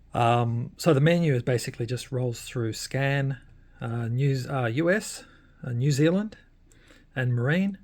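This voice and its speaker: A man giving a casual hands-on demonstration as a radio hobbyist, often hesitating and pausing mid-sentence in a creaky voice. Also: mid-range voice, a little mellow.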